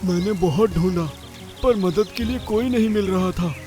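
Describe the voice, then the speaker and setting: A cartoon father bird, played by a man, talks in a notably high male voice. He is an easygoing idler turned earnest, dramatic in delivery, over soft music. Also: normal speed, lightly acted. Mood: earnest, relieved. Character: lazy but repentant male father bird. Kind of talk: Hindi cartoon story character acting.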